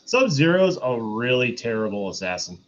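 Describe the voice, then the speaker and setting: Male co-host, mid-pitched, casual review banter, muffled lo-fi recording with faint noise. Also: very animated, moderate pace. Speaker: podcast co-host. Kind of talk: casual film review chat. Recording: dull lo-fi sound, faint noise.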